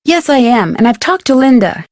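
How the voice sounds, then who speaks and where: A woman's voice: fairly high pitch, big pitch swings, moderate pace, darkish tone, dry recording, clean studio sound. An actress playing a polite, inquisitive young American woman detective in a video game, casual, friendly and direct. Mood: polite, curious.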